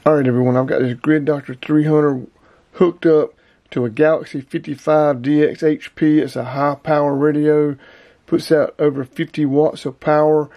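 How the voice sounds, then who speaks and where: Middle-aged man, relaxed gear-review talk, slightly raised pitch, close camera mic in a small room. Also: normal speed, no echo. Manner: plainspoken, rambling, repeats numbers. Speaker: outdoors and radio hobby vlogger. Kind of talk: casual gear demo and review. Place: small indoor room, gear shelves behind.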